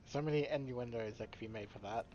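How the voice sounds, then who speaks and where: A man's voice: medium pitch, fast, a little mellow. A man hosting a let's play, commenting casually and reading dialogue options aloud. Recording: slightly dull mic with faint noise.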